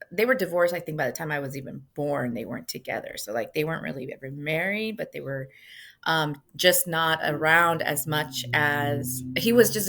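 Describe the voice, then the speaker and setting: A middle-aged woman, a podcast guest, speaking conversationally and lively, slipping Spanish phrases into her English. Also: low-pitched. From United States, of Mexican parents. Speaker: podcast guest, music manager. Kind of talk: conversational interview answers.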